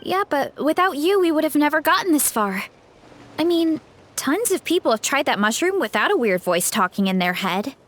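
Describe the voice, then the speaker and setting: A woman voicing a gentle, modest but brave young female warrior in a video game, speaking sincerely and earnestly. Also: high-pitched, sing-song, normal speed, slight background noise. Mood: helpful. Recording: game music behind the voice.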